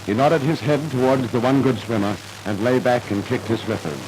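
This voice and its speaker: A man's voice: mid-range voice, moderate pace, over music, poor audio. A man narrating a scripted 1950s radio drama in a formal, dramatic storytelling manner, on an old radio recording.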